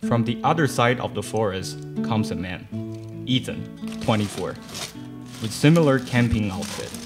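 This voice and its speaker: A man's voice: on the low side, expressive, measured pace. A young man reading scripted narration for a student radio play into a studio microphone. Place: small studio, indoors. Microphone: boom stand microphone, close. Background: guitar music.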